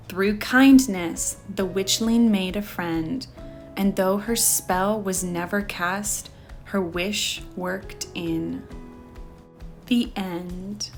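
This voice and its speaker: A woman reads a children's story aloud as host and storyteller. Her delivery is expressive and unhurried, at a medium pitch, with soft music underneath. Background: faint music.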